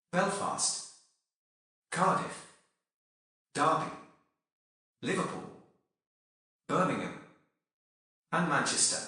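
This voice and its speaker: A man reading a formal, measured emergency broadcast announcement. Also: on the high side, pushed and bright. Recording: heavily echoing reverb.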